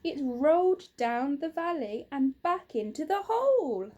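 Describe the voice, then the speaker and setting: A woman's voice: high voice, very animated, unhurried, dark tone, voice pushed up. A woman voicing a cheerful, practical storybook husband in an upbeat, reassuring way. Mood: excited.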